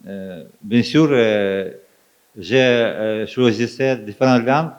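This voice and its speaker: A man from Ukraine, a native Ukrainian speaker with an Eastern European accent, answering as a guest author on stage in halting, fragmented phrases. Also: on the low side, clear, resonant tone. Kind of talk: onstage interview answers. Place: live festival stage. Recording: dull, muffled live recording.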